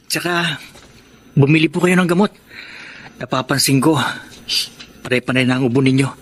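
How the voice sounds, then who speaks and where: An actor playing a dutiful, hopeful twenty-year-old son in a radio drama, earnest and caring. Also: male voice, medium pitch, normal speed, slightly rough, natural voice. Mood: encouraging. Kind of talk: Tagalog radio drama acting.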